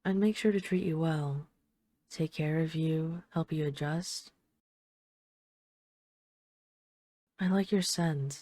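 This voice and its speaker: Low, mellow flirtatious vampire lady played by a woman. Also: no echo, studio quality. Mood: seductive, coaxing. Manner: teasing, coaxing, deliberate with lingering pauses. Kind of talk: intimate audio roleplay addressed to the listener.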